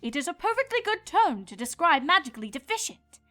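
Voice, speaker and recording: A woman's voice: extremely high pitch, big pitch swings, a little fast, bright, forceful tone, soft background music, voice pushed up, full character voice. A woman voicing a proud, blunt younger pony princess from a storybook, with old-fashioned, declamatory phrasing. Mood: puzzled.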